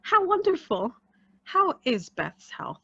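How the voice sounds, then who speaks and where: A woman's voice: very high voice, wildly swooping pitch, slow, gravelly, sharp tone, dry recording, heavily acted. An actress as polite professional woman, muffled sound. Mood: kindly. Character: polite, formal woman radio interviewer and agency worker. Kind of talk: radio play acting.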